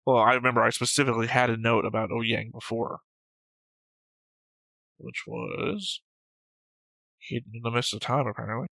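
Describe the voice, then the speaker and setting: Bright-voiced man bantering dryly. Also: mid-range voice, fairly even intonation, normal speed, vocal fry, long pauses, no room sound. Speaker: co-host of an anime reaction show. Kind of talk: casual unscripted banter and commentary.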